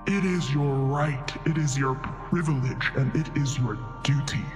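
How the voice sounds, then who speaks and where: A man's voice: mid-range voice, unhurried, lightly acted. A man playing an ancient, wise celestial beast in a tabletop game, speaking in a solemn, oracular manner. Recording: long reverb, music behind. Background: music.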